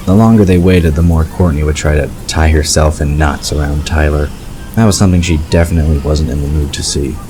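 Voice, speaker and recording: A man narrating a scripted story in a measured storytelling delivery. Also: rumbling low, steady tone. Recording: clear background ambience noise.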